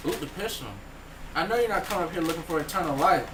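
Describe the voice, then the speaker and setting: Sassy slangy scorpion guard, played by a teen girl, lively pitch; noisy room. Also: quick pace, lightly acted. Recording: echoey room from far off, noisy.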